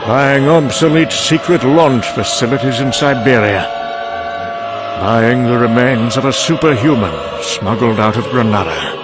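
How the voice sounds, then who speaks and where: A man's voice: mid-range voice, animated, unhurried, lightly acted, poor audio. A man acting as a wry, cynical, conversational narrator in an apocalyptic comic-book drama. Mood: wry, resigned. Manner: sardonic, conversational. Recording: background music under the voice.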